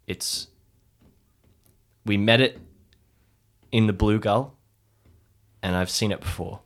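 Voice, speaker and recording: A man's voice: lowish voice, takes it slowly, a bit bright. A man playing in an improvised audio drama, moving between hesitant, earnest dialogue and narrating his character's actions.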